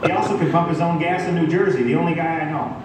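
A man's voice: fairly high pitch, fast. A man with a Massachusetts accent, a bandleader doing dry, teasing stage banter with the crowd between songs. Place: open-air wooden pavilion stage. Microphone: stage mic heard through PA from audience phone. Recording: echoey PA sound from far back.

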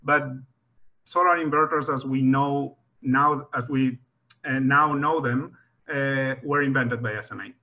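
A man's voice: medium pitch, steady tone, measured pace, highs cut off. A man in his thirties from Spain with a Spanish accent, giving an online slide presentation over a video call, talking loosely with small laughs. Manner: many fillers and restarts, friendly with small laughs. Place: home room on a video call. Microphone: video call webcam.

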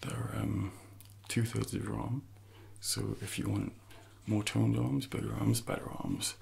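A man in his thirties speaking softly and breathily in an ASMR roleplay as a personal trainer, often slipping into whispers while instructing. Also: on the low side, little pitch movement, unhurried, gravelly. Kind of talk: soft-spoken ASMR roleplay.

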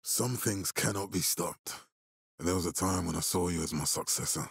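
An actor playing a menacing middle-aged military leader in a video game, authoritative, curt and measured. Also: male voice, sits low, vocal fry, husky, dry recording, clean studio sound, heavily acted. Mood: grave, ominous. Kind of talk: dramatic video game voice acting.

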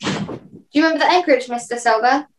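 A brisk ship's captain, played by a woman with a high voice. The delivery is formal and authoritative, in radio play style, made for giving orders. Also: close to an everyday voice, rough recording. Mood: commanding.

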